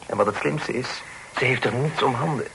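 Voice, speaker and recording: An actor playing a tactful, polite young gentleman in a radio play, speaking formally. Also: mid-range voice, moderate pace, gravelly. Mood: courteous, tactful. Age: young adult. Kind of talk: Dutch radio play acting. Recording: noisy old radio drama recording.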